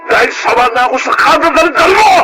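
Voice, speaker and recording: A man's voice: very high-pitched, lively intonation, fast, over loud music. A man acting a brazen, angry, defiant husband in a Filipino radio drama, with a Filipino accent.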